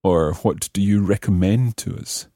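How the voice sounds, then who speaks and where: A man's voice: low voice, unhurried, warm tone, dry recording, studio quality. A man hosting a language lesson, explaining grammar methodically and repeating phrases as he teaches. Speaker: language teacher and podcast host.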